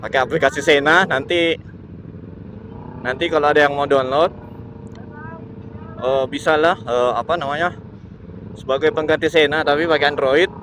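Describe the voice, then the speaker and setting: A man gives casual, unscripted vlog commentary while riding, repeating himself and searching for words. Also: fairly high pitch. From Indonesia. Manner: halting, repetitive, searching for words. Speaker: motovlogger. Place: outdoors, riding a motorbike in traffic. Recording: steady engine and road noise. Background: motorbike and vehicle noise.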